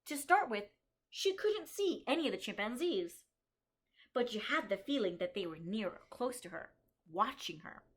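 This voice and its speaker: A kindergarten teacher, a woman, reading a picture book aloud to young children and stopping to think aloud and explain what the author and illustrator show. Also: medium pitch. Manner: slows down and stresses key story lines.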